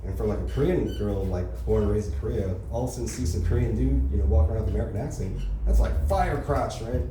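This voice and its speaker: Young male comic, quick crude club set, lowish voice, echoey distant room with noise. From Los Angeles area. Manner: casual, crude, lots of filler tags like "you know" and "right". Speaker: stand-up comedian. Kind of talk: open mic stand-up set. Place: comedy club stage, heard from far off.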